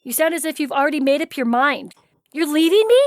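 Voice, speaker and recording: A woman acts out a young woman in love, distraught and defiant, with a high voice. It is a dramatic audio fiction reading with faint noise behind it. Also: lively intonation, fairly quick. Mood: tearful, hurt.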